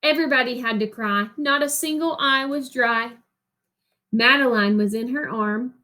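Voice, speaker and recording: A woman reading a picture book aloud like a teacher, expressively, with explanatory asides and pauses for young listeners to answer. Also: on the high side, pushed and bright.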